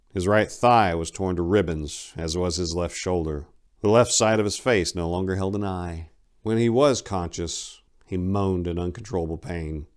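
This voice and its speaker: A man narrating as a storyteller, with a measured and expressive dramatic delivery. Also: sits low.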